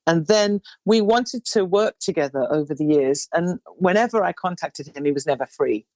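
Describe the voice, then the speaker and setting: A woman in her sixties, a singer with a low baritone voice, telling stories in a rambling interview chat with many restarts. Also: expressive, normal speed, no echo. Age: 60s. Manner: rambling, warm storytelling with restarts.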